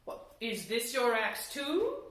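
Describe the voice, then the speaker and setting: A woman's voice: on the high side, huge pitch swings, fairly bright tone, distant mic, exaggerated voice. Woman putting on a majestic, stern old lake man, echoey. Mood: solemn, questioning. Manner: slow, commanding, theatrical. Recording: very echoey room sound.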